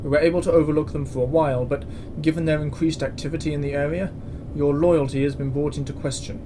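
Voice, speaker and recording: A man's voice: fairly high pitch, steady tone, fast, lightly acted. A man playing a stern, exasperated military commandant in a science-fiction audio drama, speaking with curt authority. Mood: exasperated, accusing. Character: stern, exasperated male space station commandant. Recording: clear background ambience noise.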